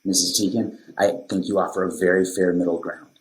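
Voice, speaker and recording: A man acting as a conciliatory school mediator in a scripted podcast drama, measured and diplomatic. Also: deep voice, flat delivery, close to an everyday voice. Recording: roomy, echoing sound.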